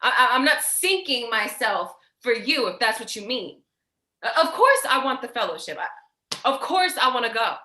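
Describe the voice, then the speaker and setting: Sister role, female actor: defensive, emotional, sharp high voice, stage drama in a roomy space. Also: big pitch swings, normal speed, slight background noise. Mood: indignant. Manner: emotional, confrontational, sarcastic. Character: stressed, defensive, foul-mouthed sister carrying her family. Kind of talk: staged reading of a play. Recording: echoey room.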